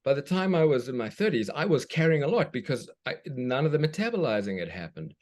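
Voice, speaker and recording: A man's voice: medium pitch, big pitch swings, a little fast, a little mellow. A man from South Africa, a children's book author, speaks thoughtfully as a guest, often restarting as he searches for words. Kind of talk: reflective conversation.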